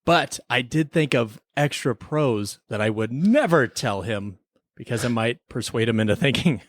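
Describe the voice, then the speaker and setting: A man's voice: medium pitch, expressive, normal speed, dry recording, clean studio sound. A man hosting a podcast, talking through a review in a casual, playful and wry way. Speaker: podcast host.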